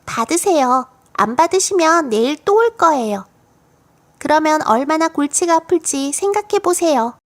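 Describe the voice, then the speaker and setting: A woman's voice: high-pitched, lively intonation, normal speed. A woman voicing a cheeky, confident little girl full of fanciful tales in a children's storybook audiobook. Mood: cheerful, insistent. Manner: matter-of-fact, playful tall-tale telling.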